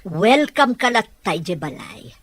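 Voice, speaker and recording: A woman's voice: mid-range voice, very animated, takes it slowly, no echo. A woman playing a caring, welcoming older-sister figure in an Ilocano audio drama. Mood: concerned, caring. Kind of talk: Filipino radio-drama dialogue in Ilocano.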